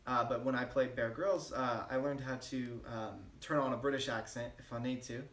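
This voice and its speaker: A man's voice: mid-range voice, moderate pace. Male co-host for a spoof interview, straight-faced and rambling, recorded far off in a roomy space. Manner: deadpan, rambling with many fillers. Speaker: channel co-host. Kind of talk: mock-serious joke interview. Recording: roomy, distant mic.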